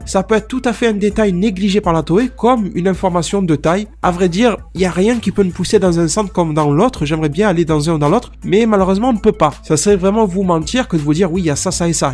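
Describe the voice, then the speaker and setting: Young man, head cold, stuffy nasal voice, lively direct-to-viewer vlog chatter, faint music bed under him. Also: high voice. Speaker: YouTuber. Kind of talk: casual fan commentary vlog.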